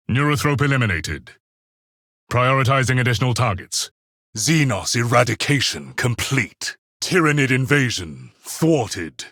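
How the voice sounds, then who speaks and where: Video game space marine, stern tactician, husky, forceful, clipped formal reports, very dry recording. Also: male voice, mid-range voice, no echo, heavily acted. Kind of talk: video game combat voice lines.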